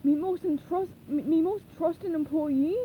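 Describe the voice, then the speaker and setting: A woman voicing a gruff, dramatic middle-aged male crab boss, loud and theatrical with old sea-dog talk. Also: very high-pitched, measured pace, narrow, tinny audio, slight background noise, pitched above the performer's own voice.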